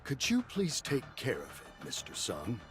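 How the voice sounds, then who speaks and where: Genial, lively older chairman. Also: mid-range voice, unhurried. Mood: courteous. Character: older male association chairman, genial, assured authority. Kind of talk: English game dub acting. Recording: music behind the voice.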